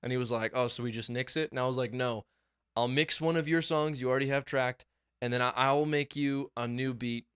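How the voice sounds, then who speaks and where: Young man, podcast co-host, casual excited rambling, smooth clear voice, dry close sound. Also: medium pitch, fairly quick, no echo. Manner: excited, swearing, rambling storytelling. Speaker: podcast co-host and music producer. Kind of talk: casual chat. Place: small home studio.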